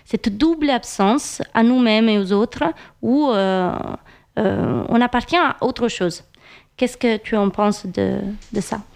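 A woman's voice: a little high, takes it slowly, creaky, a little mellow. A woman from Italy with an Italian accent, an interviewer posing a long, earnest question with hesitant fillers. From Apulia, Italy. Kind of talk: conversational question.

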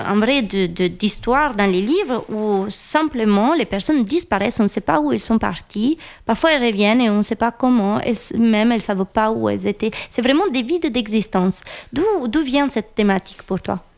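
A woman's voice: on the high side, normal speed, few pauses. A woman interviewer asking questions and searching for her words.

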